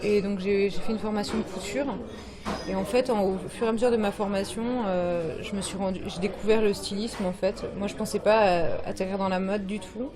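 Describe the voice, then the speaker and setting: A woman in her thirties from Brittany, a fashion designer guest, talking casually and haltingly with many fillers and restarts. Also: mid-range voice, fairly even intonation. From France, Brittany. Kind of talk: casual interview talk. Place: bar evening event with crowd. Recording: crowd chatter behind.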